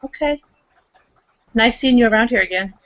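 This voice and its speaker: A woman with a fairly high voice chats in character in a roleplaying game. She talks casually and a bit hesitantly. The audio is dull and lo-fi. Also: normal speed, fairly bright tone, no room sound. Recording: dull lo-fi recording.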